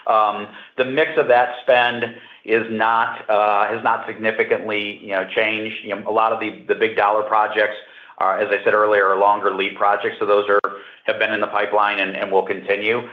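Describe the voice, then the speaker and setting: A man speaking as a company executive answering questions on an earnings call, halting and measured as he repeats words. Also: on the low side, little pitch movement. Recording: muffled narrow phone line, roomy echo.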